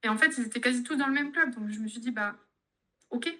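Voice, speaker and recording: Young french woman, kine, high-ish voice, rattles off funny anecdotes really fast. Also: pushed and bright. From France. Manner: chatty, tells anecdotes with laughs. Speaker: guest, physiotherapist. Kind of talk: casual interview chat.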